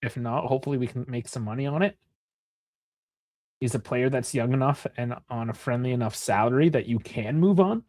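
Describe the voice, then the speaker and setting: A man's voice: mid-range voice, fairly quick, darkish tone, dry recording. A Canadian man in his thirties, chatting loosely about soccer with frequent laughs and swearing. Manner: rambling, swears, laughs often. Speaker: co-host of a soccer watchalong. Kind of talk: casual chat.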